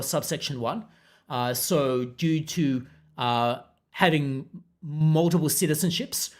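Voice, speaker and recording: A man with a mixed international accent, hosting a podcast and answering viewer comments in a measured, unruffled way. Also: on the high side. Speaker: podcast host.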